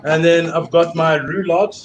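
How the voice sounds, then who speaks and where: A man's voice: a little high, fairly even intonation, unhurried, echoey room. Man, South African accent, chef, laptop video call, upbeat, faint background noise. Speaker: guest chef. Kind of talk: casual cooking demo on video call.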